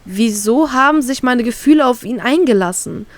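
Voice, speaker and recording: A woman's voice: on the high side, animated, moderate pace, no echo. A woman reading a first-person fan fiction story aloud, voicing a heartbroken inner monologue.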